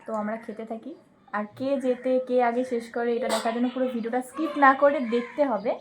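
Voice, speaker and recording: A woman's voice: fairly high pitch, fast, crowd noise. A woman hosting an eating challenge in casual vlog chat.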